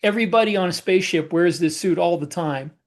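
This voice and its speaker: A man's voice: high voice, quick pace. A man co-hosting a podcast as a science fiction author, explaining things in casual conversation.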